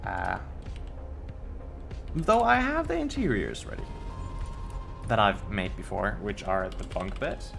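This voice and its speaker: A man's voice: lowish voice, huge pitch swings, slow pace, vocal fry, long pauses, music in the background. A young man from Finland with a Finnish accent, chatting casually on a game live stream and stumbling over his words. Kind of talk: casual live-stream chat while building.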